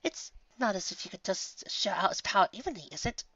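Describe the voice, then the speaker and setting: A woman's voice: mid-range voice, very animated, a little fast, fairly bright tone, breathy edge, no room sound, dull audio, voice pushed up. A woman voicing a refined, theatrical and sincere female pony in a storybook reading, speaking eloquently. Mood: questioning.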